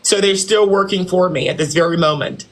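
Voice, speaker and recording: A man doing a condescending boss-and-CEO character on a live stream, full of airs. The voice sits quite high and keeps slipping in "dear" and "honey" as he talks down to the other person. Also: fairly quick, close to an everyday voice. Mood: smug. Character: haughty self-styled boss and CEO, male. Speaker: livestream host in character. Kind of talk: improvised live-stream roleplay.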